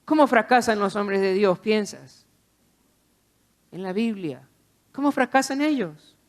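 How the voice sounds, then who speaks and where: A man's voice: high-pitched, animated, a little slow. A man preaching a sermon, exhorting the listener with repeated phrases and rhetorical questions.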